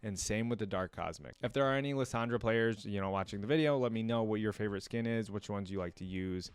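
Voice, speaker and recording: A man's voice: lowish voice, quick pace, smooth, no echo. A man hosting a reaction video, chatting casually to viewers, thinking aloud and reading wiki text out as he goes. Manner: conversational, thinking aloud, often musing to viewers.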